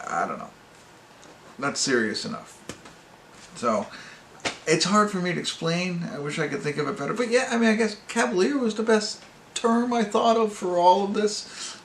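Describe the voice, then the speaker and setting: Hesitant man with a gravelly, creaky voice. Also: a little high, a bit bright. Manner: hesitant, rambling, searching for words. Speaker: amateur movie reviewer and host. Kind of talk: casual off-the-cuff movie review. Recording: roomy echo, faint background noise.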